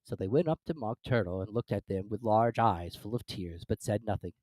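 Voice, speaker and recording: A narrator giving an expressive, dramatic reading of a classic children's story. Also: medium pitch, brisk, dry recording, poor audio.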